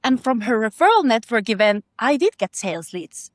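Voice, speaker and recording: A woman's voice: a little high, big pitch swings, dry recording, clean studio sound. Woman, careful English. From Estonia. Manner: careful, explanatory, slightly halting non-native phrasing. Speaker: podcast guest, consultant. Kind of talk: interview answers.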